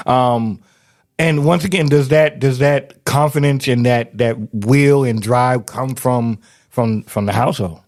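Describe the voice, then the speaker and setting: A man's voice: medium pitch, unhurried, darkish tone. An American man speaking casually as a radio host interviewing a guest, informal and encouraging.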